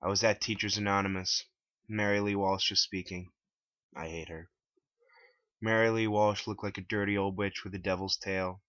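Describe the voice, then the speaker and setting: A man reading his own story aloud as a scripted dramatic narration in a deep voice. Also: level tone, normal speed, a bit bright, dry recording. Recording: dull lo-fi, dry close recording.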